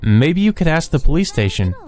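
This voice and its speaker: A man's voice: fairly high pitch, sing-song, brisk, a little mellow, faint music behind, voice pushed up. A man playing a reserved, evasive young man, the protagonist of a visual novel. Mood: casual, questioning.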